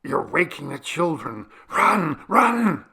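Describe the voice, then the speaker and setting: A man voicing an urgent, forceful villager, sharp-edged. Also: a little high, sing-song, slow, breathy edge, pitched above the performer's own voice, full character voice. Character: urgent hushed male villager hurrying his companions along. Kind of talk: audiobook story acting.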